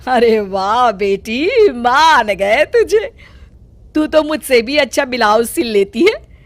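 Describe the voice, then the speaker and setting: A woman's voice: a little high, very animated, slow, no room sound. A woman voicing a domineering, scolding middle-aged mother-in-law in a cartoon story. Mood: pleased. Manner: scolding, commanding.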